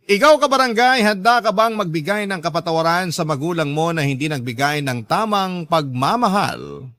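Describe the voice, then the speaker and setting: A man's voice: high voice, normal speed, a bit bright, no room sound, clean studio sound. A man with a Filipino accent, a radio host reading out a listener's letter in a dramatic scripted narration.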